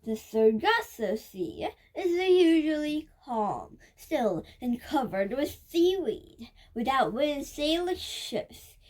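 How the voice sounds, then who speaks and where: A female child reads a book aloud in a high voice. She takes it slowly and carefully, word by word, with the odd halting stumble along the way. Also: very animated, darkish tone. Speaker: reader of a children's nonfiction book.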